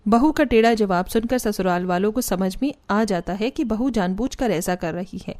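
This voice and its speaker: A woman's voice: medium pitch, moderate pace, a little mellow. A woman giving scripted narration for a cartoon family story.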